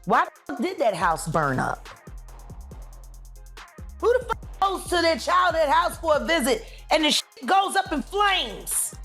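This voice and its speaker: Woman, higher-pitched, impassioned accusatory rant, hammering repeated phrases, light music underneath. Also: sing-song, measured pace, fairly bright tone. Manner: accusatory, emphatic, repetitive phrasing.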